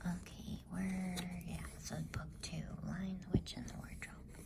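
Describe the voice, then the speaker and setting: A woman whispering softly and breathily in a gentle, unhurried ASMR bedtime style. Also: fairly low pitch, level tone, creaky. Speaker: host and storybook reader.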